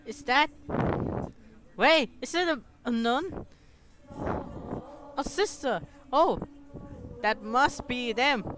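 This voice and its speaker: An excitable streamer commentates over a game in halting English, with pitch that swings a lot. The delivery is slowish and full of exclamations, over clear background noise. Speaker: gaming streamer. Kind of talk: excitable gameplay commentary, reading game text aloud.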